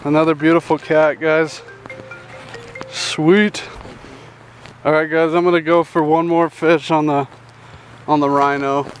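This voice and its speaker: A young man hosting a casual fishing vlog outdoors at a rural pond, chatty and excited, calling everyone "dude" and "guys", recorded on a head-mounted action camera. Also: on the high side, measured pace, pauses often, faint music behind, low-quality recording. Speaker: fishing channel host.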